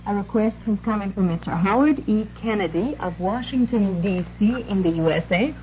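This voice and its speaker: A woman radio presenter announces in a 1960s shortwave style, at a middle pitch. The sound is dull and narrow, with faint noise behind her voice. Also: lively intonation, moderate pace. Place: radio studio, heard over shortwave.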